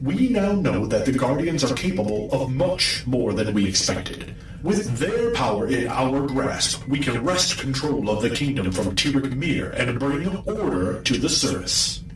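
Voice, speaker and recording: Echoing, grandiose villain commander. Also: male voice, medium pitch, sing-song, unhurried. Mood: coldly scheming. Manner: grandiose, menacing. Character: vengeful male villain commander plotting conquest. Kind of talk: audio drama acting. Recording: echoing, distant-sounding voice.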